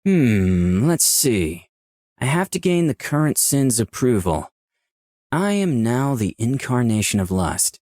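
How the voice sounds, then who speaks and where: An actor playing a composed, charming incarnation of lust, in visual novel dialogue and inner monologue. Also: male voice, mid-range voice, sing-song, takes it slowly, darkish tone, dry recording, clean studio sound. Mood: musing.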